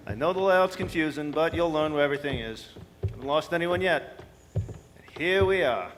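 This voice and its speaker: A man playing a brisk, worldly senior asteroid supervisor in a radio drama, bossy, wry and gruffly friendly. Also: on the high side, natural voice. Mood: explaining, wry.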